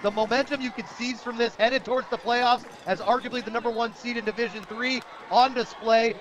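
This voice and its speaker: American guy calling high school football on radio, pretty high voice, excitable and punchy. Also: a little fast. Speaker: play-by-play radio announcer. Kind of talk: live high school football play-by-play commentary. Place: outdoor football stadium broadcast.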